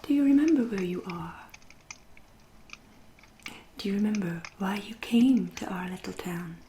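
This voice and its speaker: A woman voicing a centuries-old aristocratic English vampire in a soft, breathy, often whispery ASMR roleplay, genteel and deliberate, with dry, polite menace. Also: fairly low pitch, echoey room, slight background noise, lightly acted. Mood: coolly polite. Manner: genteel, deliberate, drawn-out phrasing with dry irony.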